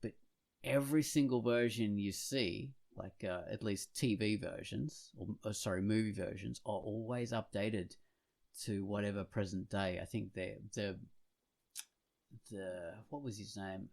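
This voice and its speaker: Low-pitched man chatting casually. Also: steady tone, a little slow, gravelly, a little mellow. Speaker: podcast host and comic artist. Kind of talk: casual podcast chat.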